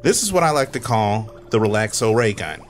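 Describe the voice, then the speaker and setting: Nerdy super genius inventor, male actor for comedy radio drama: casual confidence, matter-of-fact, animated intonation. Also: fairly low pitch, brisk, faint music behind. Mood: proud explaining.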